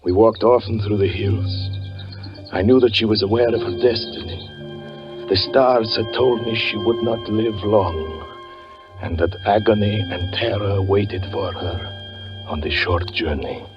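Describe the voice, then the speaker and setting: An actor playing a haunted, doom-laden fortune teller, narrating gravely and haltingly in 1940s radio drama style. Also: male voice, fairly low pitch, natural voice. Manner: grave, halting, melodramatic delivery. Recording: muffled 1940s radio recording with music.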